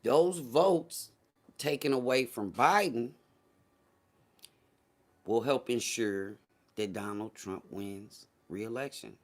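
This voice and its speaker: A man's voice: mid-range voice, slow pace, long pauses. Emphatic man livestreaming in casual slang. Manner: slangy, profane, conversational; emphatic, repeats phrases for effect. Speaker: livestream host. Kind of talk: casual livestream political commentary, addressing a live chat.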